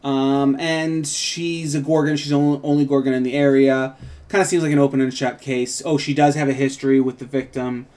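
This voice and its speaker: A man's voice: mid-range voice, little pitch movement, a little fast, a little mellow. A man co-hosting a casual, unscripted talk about a script, rambling and hedging as he explains. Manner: rambling, hedging with frequent "like" and "kind of". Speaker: co-host and writer introducing a script read.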